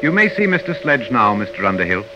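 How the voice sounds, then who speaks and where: An actor playing a polite, relentless humanoid robot in a 1950s radio drama, speaking formally and without emotion. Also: male voice, mid-range voice, sing-song, fast talker, highs cut off, loud music behind the voice, close to an everyday voice. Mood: calm, implacable. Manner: formal, courteous, unyielding. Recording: hissy 1950 radio broadcast.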